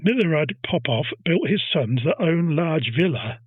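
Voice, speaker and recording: A man's voice: fairly high pitch, big pitch swings, moderate pace, slightly rough, no room sound, narrow, tinny audio. A man hosting a casual history podcast, telling a story and teasing his co-host.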